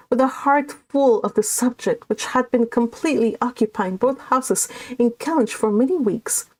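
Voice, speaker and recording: A woman hosting a book read-along, talking casually and haltingly, and reading aloud from a classic novel. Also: fairly high pitch, darkish tone.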